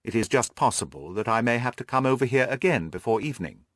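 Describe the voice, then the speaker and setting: A man acts out a keen detective for an audiobook. His speech is lively and swooping in pitch, precise and courteous, full of self-assurance, and the sound is close and dry. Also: fast, no room sound, close to an everyday voice. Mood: courteous, decisive. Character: brisk, confident male detective, keen and courteous. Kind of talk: audiobook character dialogue.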